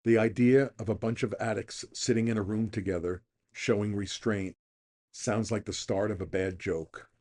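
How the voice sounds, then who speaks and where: A mature man with a fairly low voice reads a scripted daily meditation. He is middle-aged or older and delivers the text as a reader on a recovery programme. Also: fairly even intonation, moderate pace, darkish tone.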